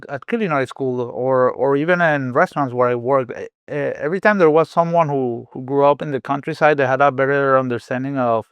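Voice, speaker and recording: A man's voice: medium pitch, clean tone, dry recording. A man who grew up in Venezuela, a chef speaking as a podcast guest, thoughtful and full of restarts and fillers. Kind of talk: podcast interview.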